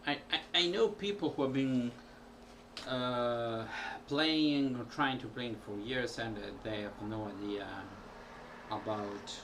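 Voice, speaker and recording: A man, a musician, talking casually to camera at home in a halting way with many pauses. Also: medium pitch. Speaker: musician vlogger. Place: small room at home. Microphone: built-in camera mic. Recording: distant home camera audio with background noise.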